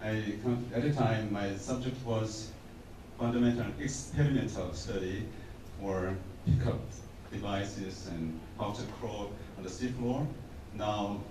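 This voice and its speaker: An engineer with a somewhat low voice introduces himself, stopping and restarting often. He is distant from the mic in a very echoey hall with clear background noise. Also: steady tone. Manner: halting, frequent filler pauses and restarts. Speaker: engineer in mining technology. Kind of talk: workshop self-introduction.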